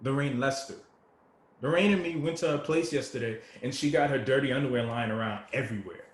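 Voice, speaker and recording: A man playing an easygoing, good-natured homeless man in a play reading, gentle and appreciative, with folksy street talk, recorded in an echoey room. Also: mid-range voice, fairly quick, close to an everyday voice. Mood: gossipy. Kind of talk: dramatic table reading of a stage play. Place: indoor room with echo, virtual reading.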